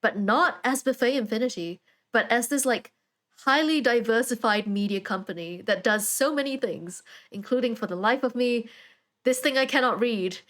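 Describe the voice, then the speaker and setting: A woman's voice: a little high, normal speed, fairly bright tone. Casual, wry woman doing video commentary. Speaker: video essayist and host.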